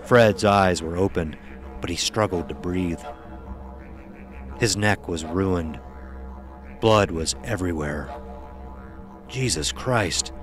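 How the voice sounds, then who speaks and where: A man's voice: deep voice, slow pace, pauses often, over music. A man narrating a scripted horror audio drama as its storyteller.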